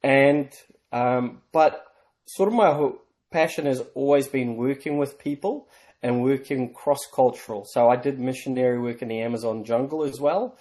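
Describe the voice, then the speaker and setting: Mellow-voiced man. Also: medium pitch. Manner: measured, storytelling, light self-deprecating humor. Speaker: interview guest. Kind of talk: conversational interview.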